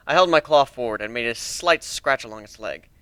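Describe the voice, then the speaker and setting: A man's voice: medium pitch, lively intonation, brisk, a bit bright, clear, resonant tone, no echo. A man narrating a story as an amateur reader, in a dramatic first-person reading style.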